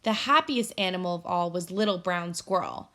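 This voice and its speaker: A woman's voice: lowish voice, normal speed, fairly bright tone. A woman teacher reading a children's storybook aloud expressively to her pupils.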